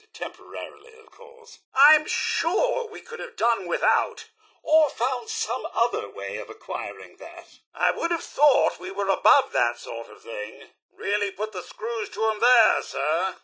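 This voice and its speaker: Robot butler, British accent, wildly animated pitch, raspy edge, prim, fussy, polite, game character. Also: male voice, full character voice. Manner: prim, theatrical, clipped politeness. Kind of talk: video game character voice lines.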